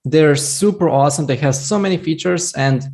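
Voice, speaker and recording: A man's voice: fairly high pitch, normal speed, darkish tone. A young man from Croatia, an English speaker, telling his career story casually on a headset mic in a small home room, with many fillers and restarts. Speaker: software developer guest.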